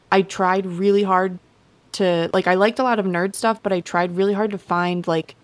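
A young woman, a podcast guest, a little hoarse, chatting casually with many fillers. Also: medium pitch, no echo.

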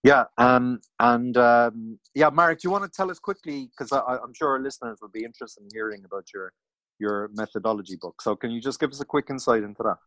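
A man's voice: mid-range voice, quick pace, a bit bright, dry recording, rough recording. A man hosting an interview in a casual, hesitant way, with many fillers and restarts. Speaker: podcast host.

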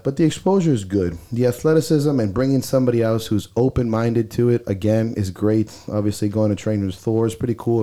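A man's voice: lowish voice, warm tone. A man co-hosting a podcast, talking bluntly and swearing freely, with a stuffy nose, recorded on a close mic. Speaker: podcast co-host. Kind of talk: casual podcast chat.

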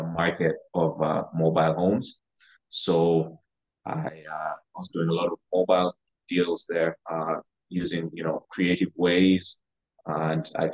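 A man's voice: low-pitched, rough recording. A young man from Uganda, a real estate investor, talking casually as a podcast guest with many fillers. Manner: relaxed, with frequent fillers like "you know" and "uh". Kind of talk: casual interview talk.

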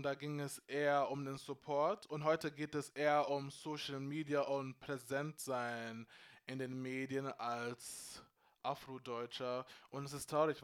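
A man's voice: mid-range voice, clear, resonant tone. A man from Bavaria hosting a casual group discussion, dropping English phrases into his talk. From Bavaria, Germany.

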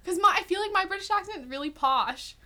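A woman chatting casually on a vlog, giggly and animated. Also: very high-pitched, quick pace, crowd noise. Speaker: vlogger. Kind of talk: casual chat.

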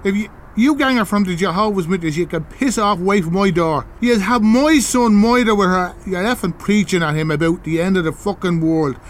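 Male actor as grumpy Irish householder, high voice, confrontational, faint street noise, comedy audio drama. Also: a little fast, few pauses, lightly acted. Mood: angry. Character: irate, suspicious, foul-mouthed Irish householder.

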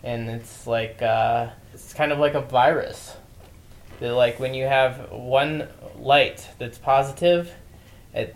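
A thirty-year-old man co-hosting a casual podcast chat, talking loosely and joking a little. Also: medium pitch. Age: 30s. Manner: loose, conversational, mildly joking. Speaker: podcast co-host.

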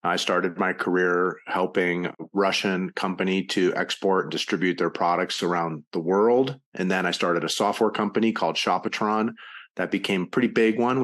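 Very deep-voiced middle-aged man. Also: a bit bright. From United States (Ohio). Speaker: podcast guest, entrepreneur. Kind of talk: casual interview chat.

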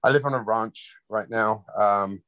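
A man's voice: on the low side, a little slow, fairly bright tone, no echo, dull audio. A laid-back man from London chatting casually as an interview guest. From London, United Kingdom. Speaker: interview guest, rock bassist. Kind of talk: casual chat.

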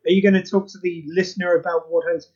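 A high-voiced man trading dry, sarcastic jabs in casual podcast chat. The sound is dull and lo-fi, like a remote call. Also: little pitch movement, fast talker, a little mellow, breathy edge. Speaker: podcast co-host.

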